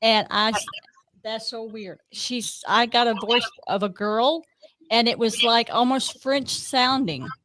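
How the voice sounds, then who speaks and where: A woman's voice: fairly high pitch, a little slow, noisy background. A woman hosting a paranormal investigation, telling a story in an eager, rambling way, often breaking off her own sentences. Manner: rambling, self-interrupting, eager. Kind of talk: casual conversation.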